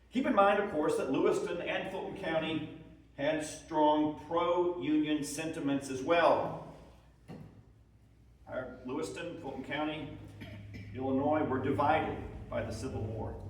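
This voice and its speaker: Male history presenter, measured lecture delivery with slides, somewhat high pitch, heavily reverberant, distant microphone. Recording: echoey hall, distant microphone.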